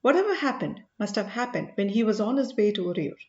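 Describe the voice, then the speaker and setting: A woman voicing an earnest, commanding male sage in an audiobook, speaking in a measured, emphatic way. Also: mid-range voice, very animated, darkish tone, roomy sound, lo-fi sound, close to an everyday voice. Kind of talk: audiobook character dialogue.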